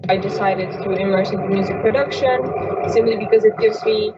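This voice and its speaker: Teen girl, measured student voice, noisy background, muffled audio. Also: medium pitch, fairly even intonation. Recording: dull lo-fi audio with ambient noise.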